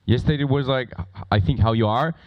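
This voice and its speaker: A man who is not a native English speaker moderating a conference on stage, talking informally and playfully with a loud, projecting voice. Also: medium pitch, a little fast, lo-fi sound. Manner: playful, bantering with audience. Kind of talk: informal live stage presentation. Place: conference stage before a large audience.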